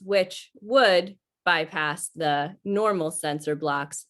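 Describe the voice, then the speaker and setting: A talking computer voiced by a woman in an old-time sci-fi radio play. It speaks very slowly in a lowish voice, giving formal, impassive, methodical recitations. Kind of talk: 1950s radio play acting.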